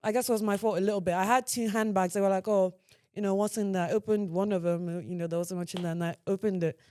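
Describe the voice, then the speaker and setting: A woman's voice: mid-range voice, fast talker. A young woman from Nigeria, a podcast guest telling stories in casual chat and laughing often. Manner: laughs often, relaxed storytelling.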